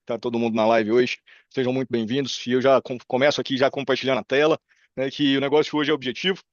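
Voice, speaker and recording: Casting: Brazilian male presenter, Brazilian Portuguese accent, chatty and quick, informal tutorial delivery, close dry sound with slightly lo-fi tone. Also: medium pitch, dry recording. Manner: informal, chatty, checks in with listeners. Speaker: presenter demonstrating software. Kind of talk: informal live screen-share tutorial. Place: indoor room on a video call.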